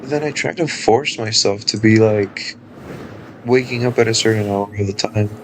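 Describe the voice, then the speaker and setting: A man's voice: steady tone, normal speed, a little hiss or hum, rough recording. Laid-back man in his thirties, rambling. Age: 30s. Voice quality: low-pitched speaking voice. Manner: loose and chatty, many fillers and laughs. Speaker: live streamer. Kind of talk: casual rambling chat.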